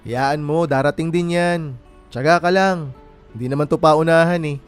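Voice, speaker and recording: A man's voice: a little high, moderate pace, clean tone, faint music behind, lightly acted. Lightish-voiced, easygoing young guy played by an actor. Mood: easygoing, teasing. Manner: casual, teasing. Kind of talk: radio romance drama dialogue.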